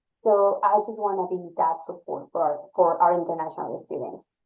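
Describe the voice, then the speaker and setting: A woman's voice: lowish voice, normal speed, a little mellow, low-quality recording. A woman from Ecuador whose first language is Spanish, speaking earnestly as a podcast guest in English with small slips. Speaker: assistant director of graduate admission.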